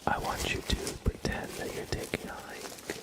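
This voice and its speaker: A man hosting an ASMR livestream in a gentle, hushed voice that often drops into a whisper, close to the microphone. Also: moderate pace. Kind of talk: ASMR, soft-spoken and whispered livestream chat.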